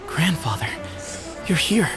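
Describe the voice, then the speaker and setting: A man voicing an earnest young heir of a desert temple in a video game, speaking in solemn, measured declamation. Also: fairly high pitch, big pitch swings. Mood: calm resolve. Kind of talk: dramatic video game voice acting. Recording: game audio under loud music.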